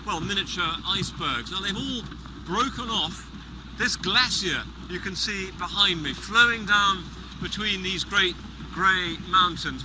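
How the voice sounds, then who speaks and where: A man reporting to camera from a boat among floating ice outdoors, describing the scene in a crisp way. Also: sits high. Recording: boat engine noise outdoors.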